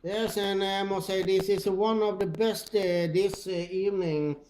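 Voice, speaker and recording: Swedish-accented man, highish voice, very slow and halting, casual radio operator chat, light static. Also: level tone, a little mellow. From Sweden. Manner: halting, searching for words. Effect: two-way radio transmission. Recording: noisy two-way radio with clicks.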